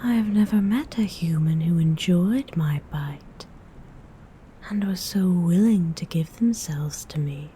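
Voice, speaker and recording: A possessive female vampire played by a woman whispers in an ASMR role-play, slowly and lingeringly. Her low, mellow whisper has a formal, haughty turn of phrase. A faint hiss sits beneath it. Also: lightly acted.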